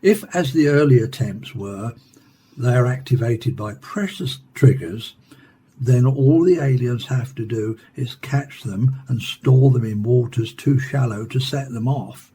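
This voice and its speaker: Radio drama actor: grave scientist, mid-pitched, relaxed timbre, explanatory and formal. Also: male voice, measured pace, a little hiss or hum, lightly acted. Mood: grave, measured. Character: measured male scientist, expert on an alien invasion. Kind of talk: radio drama acting as a studio interview guest.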